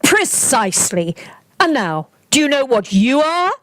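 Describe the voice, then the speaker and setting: A woman's voice: high-pitched, big pitch swings, a little slow, fairly bright tone, no room sound, heavily acted. A woman playing a blustering, bullying male squire and castle captain in a radio play, pompous and imperious. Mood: pompous, scornful. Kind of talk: theatrical radio play acting.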